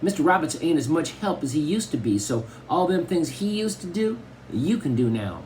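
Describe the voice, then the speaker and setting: A man's voice: fairly high pitch, sing-song, moderate pace, darkish tone, slight background noise, voice pushed up, poor audio. A man reading aloud and voicing a tiny, doting but bossy old Southern grandmother in a folksy drawl.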